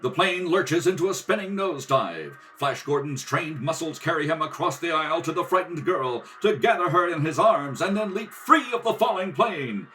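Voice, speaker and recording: A man's voice: fairly high pitch, animated, normal speed, few pauses, sharp tone, a little hiss or hum. A man narrating a scripted radio adventure serial as announcer, dramatic and declamatory. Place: kitchen table at home. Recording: home kitchen recording, distant mic.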